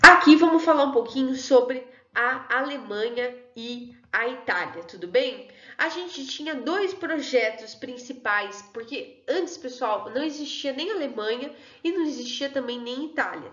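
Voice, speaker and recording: High-voiced woman teaching history. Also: fairly bright tone. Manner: chatty, keeps checking in with "tudo bem?" and "né, pessoal?". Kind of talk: online history lesson. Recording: echoey room, dull lo-fi sound.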